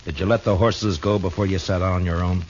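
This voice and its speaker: An actor playing a deep laconic frontier marshal. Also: male voice, slight background noise, lightly acted. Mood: steady. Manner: calm, measured, laconic. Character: Matt Dillon, steady frontier marshal. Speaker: narrator. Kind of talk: 1950s radio drama acting. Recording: dull lo-fi old radio recording with music.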